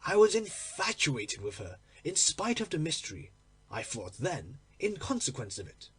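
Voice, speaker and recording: A man reading a literary story aloud in an expressive, theatrical manner. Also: on the high side, normal speed, slightly rough. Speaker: audiobook reader. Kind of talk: dramatic literary story narration.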